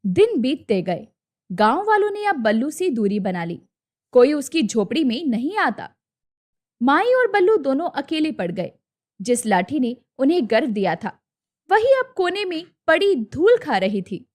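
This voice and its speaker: A woman's voice: high-pitched, sing-song, measured pace, a little mellow. A woman narrating a scripted story in a dramatic storytelling style.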